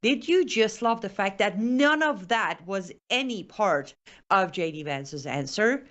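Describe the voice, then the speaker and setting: A woman's voice: medium pitch, animated, unhurried, a bit bright, muffled recording. A woman hosting a political commentary show, making her case point by point with pointed sarcasm. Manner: sarcastic, emphatic, building point by point. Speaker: host.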